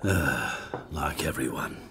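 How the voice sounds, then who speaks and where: An actor playing a chatty, nosy, tipsy merchant in a video game, wheedling and effusive in a theatrical way. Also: male voice, extremely low pitch, animated, slow, noisy background, exaggerated voice. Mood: cheerful, coaxing. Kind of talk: video game character dialogue. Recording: crowd chatter behind the voice.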